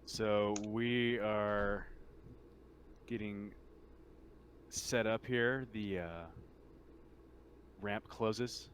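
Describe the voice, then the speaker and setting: A man with a fairly low voice runs a tabletop roleplaying game as game master. He narrates informally and haltingly. There is faint noise behind him. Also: flat delivery.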